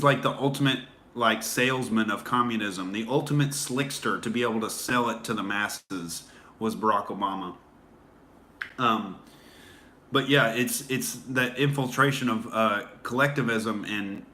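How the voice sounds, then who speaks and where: A man's voice: fairly low pitch, sharp tone, slight background noise. A man hosting a casual livestream, rambling with many fillers and restarts while answering viewer comments. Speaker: livestream host.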